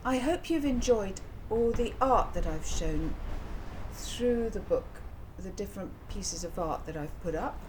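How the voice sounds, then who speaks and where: A woman author chatting informally to her listeners in an unhurried, musing way, pausing often. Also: medium pitch, lively intonation. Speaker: author and reader of her own book, channel host. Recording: clear background noise.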